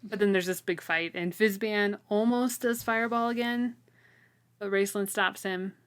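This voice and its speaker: A woman's voice: medium pitch, steady tone, normal speed, clear, resonant tone. A woman co-hosting a casual book discussion, retelling the story in an informal, wryly incredulous way. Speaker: co-host of a book read-along show. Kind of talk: casual chat, recapping a fantasy novel.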